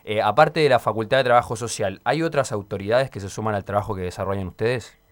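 A man's voice: fairly low pitch, fast talker. A Chilean man interviewing a guest on community radio, hesitant and full of filler sounds.

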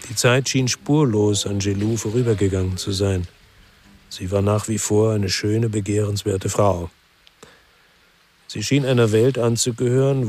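An actor playing a brooding former policeman who narrates his own crime story in a measured, terse way for radio drama. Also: on the low side, a little mellow, lightly acted. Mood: reflective. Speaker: first-person narrator and lead actor.

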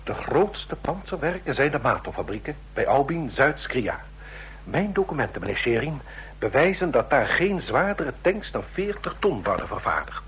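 A desperate, shifty agent in a vintage radio drama. The voice is sharp and animated, nervous and pleading, over a noisy, humming old recording. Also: male voice, medium pitch, moderate pace. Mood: conspiratorial. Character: desperate, shifty male secret agent selling stolen secrets. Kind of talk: vintage Dutch radio play acting.

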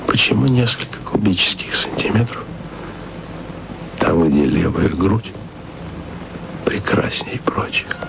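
A very deep, gravelly voice murmurs to a faraway woman like a lovesick radio drama lead. The delivery is slow and intimate, often dropping to a whisper. Steady hiss sits under the whole recording. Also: heavily acted. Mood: tender.

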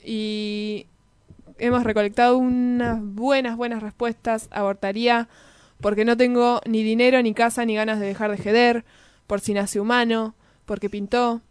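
Argentine woman radio host, highish smooth voice, casual live presenting, close and dry in the studio. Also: flat delivery, moderate pace, no room sound. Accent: Argentine Spanish. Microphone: studio microphone with headphones.

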